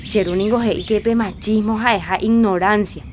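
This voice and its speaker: A woman's voice: medium pitch, steady tone, moderate pace, slight background noise, close to an everyday voice. A woman voicing a Paraguayan woman in a radio drama who persuades and scolds, with a Paraguayan accent. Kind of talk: radio drama acting in Jopara.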